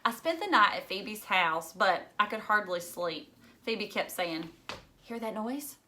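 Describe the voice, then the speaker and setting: A woman's voice: medium pitch, animated, measured pace, bright, forceful tone, a little hiss or hum. A woman reading a children's novel aloud expressively, like a teacher narrating to pupils.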